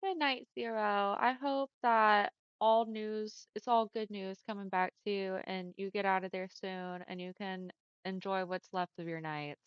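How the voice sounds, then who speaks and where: A young woman livestreaming from home and chatting with her viewers in a friendly, easygoing way, often laughing. Her voice is smooth and on the deeper side for a woman. The sound comes through a dull headset mic with a dry, close feel. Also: fairly even intonation, unhurried, no echo. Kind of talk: casual chat with viewers.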